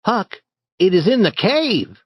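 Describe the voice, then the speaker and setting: A man's voice: high-pitched, takes it slowly, husky, no room sound, highs cut off, pitched above the performer's own voice, full character voice. A man doing an eager bold young boy. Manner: confident, excited, urging.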